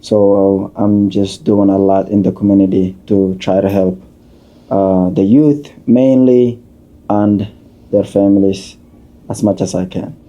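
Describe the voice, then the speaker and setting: A man, a community leader answering questions as a podcast guest in an unhurried, halting way. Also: on the low side, little pitch movement, warm tone, lo-fi sound. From Somalia, raised in Kenya. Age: 30s. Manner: unhurried, halting, with many uh fillers and restarts.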